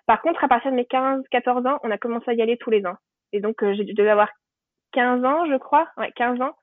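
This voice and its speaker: A woman's voice: a little high, fast, no echo. A woman casually telling personal stories in French conversation, chatty and anecdotal, thinking aloud as she goes. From Normandy, France. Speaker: podcast guest. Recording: thin phone-like band, dry.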